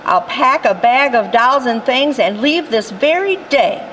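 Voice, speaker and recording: A woman's voice: on the high side, animated, unhurried, fairly bright tone, slight background noise, poor audio. A woman voicing a sulky little girl who is tired and complaining. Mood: whiny.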